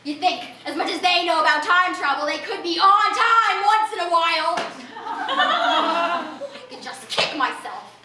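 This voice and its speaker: A woman doing a raspy, cackling street eccentric. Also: very high voice, sing-song, a little slow, background noise, full character voice. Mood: musing. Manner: rambling, confrontational, cackling laughs. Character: eccentric street woman awaiting space aliens. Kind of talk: theatrical comic monologue. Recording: roomy, distant mic.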